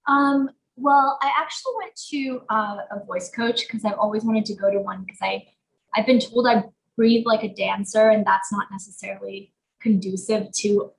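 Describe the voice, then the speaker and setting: Young woman actor, Portuguese native, casual rambling interview talk with a gravelly edge. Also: medium pitch, steady tone, moderate pace. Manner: chatty, lots of fillers like "um" and "like". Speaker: actor guest. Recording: roomy echo.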